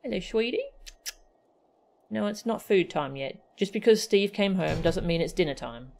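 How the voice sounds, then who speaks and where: A woman's voice: mid-range voice, expressive, moderate pace, creaky, darkish tone, slight background noise. A woman streaming a game with casual, playful, chatty live commentary. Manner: playful, chatty, goofy sound effects. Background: faint game music.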